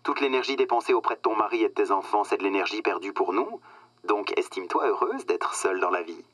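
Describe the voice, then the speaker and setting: A condescending corporate boss in a darkly comic radio drama. His voice is bright and sharp, with a patronizing, self-satisfied way of explaining things. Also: on the low side, expressive, fast talker. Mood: smugly cheerful. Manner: patronizing, self-satisfied, hesitating with filler words. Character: smug, condescending corporate boss phoning an employee. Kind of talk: satirical radio drama acting.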